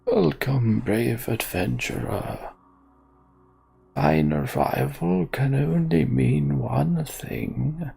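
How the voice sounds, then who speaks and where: A man voicing a solemn old scholar creature from a fantasy game, speaking in slow, archaic, formal phrases. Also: mid-range voice, lively intonation, creaky, dark tone, slightly rough, soft background music, exaggerated voice. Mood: solemn, courtly. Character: courtly old male Tonberry scholar, solemn ghostly creature. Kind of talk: voiced role-play of game dialogue.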